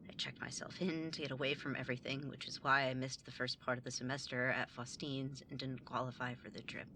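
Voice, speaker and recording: A woman's voice: rumbling low, flat delivery, brisk, bright, forceful tone, a little hiss or hum, heavily acted. A woman playing a guarded, blunt but forgiving younger sibling in a naturalistic audio drama. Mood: vulnerable, hurt.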